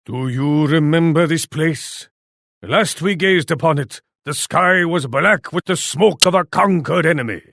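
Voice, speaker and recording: An actor playing a proud, imperious Japanese warlord in a video game, speaking formally. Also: male voice, a little high, unhurried, fairly bright tone, dry recording, clean studio sound. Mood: menacing, scornful. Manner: imperious, formal, speaks of himself in third person. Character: proud, haughty Japanese warlord commanding his armies. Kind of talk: video game character voice lines.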